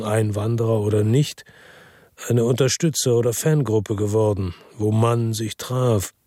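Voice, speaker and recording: Ein Schauspieler spielt einen weltmüden Ermittler, der in einem Noir-Hörspiel knapp und grüblerisch aus der Ich-Perspektive erzählt. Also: male voice, medium pitch, warm tone, no room sound, close to an everyday voice. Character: world-weary, brooding male investigator in Marseille noir. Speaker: narrator and lead actor. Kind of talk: first-person noir radio drama narration. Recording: dry studio with music underneath.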